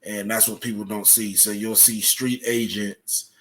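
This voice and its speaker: A man speaking as an interview guest, explaining things in a measured, matter-of-fact way. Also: lowish voice, level tone, a bit bright. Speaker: podcast guest, writer explaining his subject.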